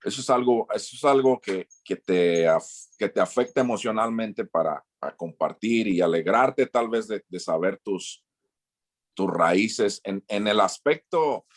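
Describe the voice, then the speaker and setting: Mexican man, low-pitched, former missionary guest; hesitant, restarting interview answers. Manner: halting, many restarts and repeated words.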